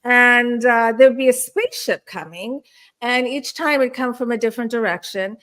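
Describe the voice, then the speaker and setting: Higher-voiced woman in a conversational interview. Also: normal speed, a bit bright. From born in Istanbul, Turkey; grew up in the Washington DC area. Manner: frequent throat clearing. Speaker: guest, spiritual counselor and experiencer.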